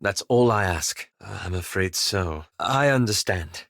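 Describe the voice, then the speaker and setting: A man playing a polite, anxious, scholarly elven wizard in a video game, speaking with formal, measured, courteous diction. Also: sits low, lively intonation, vocal fry, fairly bright tone, dry recording, studio quality, heavily acted. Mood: earnest, measured. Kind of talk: video game character dialogue.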